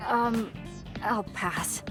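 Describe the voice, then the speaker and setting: A woman's voice: a little high, very slow. An actress playing a composed, blunt but caring young female soldier in a video game. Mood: wry. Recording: music behind the voice.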